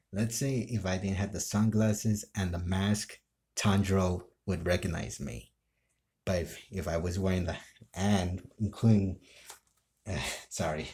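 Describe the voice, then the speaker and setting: A man telling an improvised story casually to camera, in a halting, rambling way that often breaks off. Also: sits low, darkish tone, a little hiss or hum. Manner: halting, rambling, self-interrupting. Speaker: amateur role-play video creator.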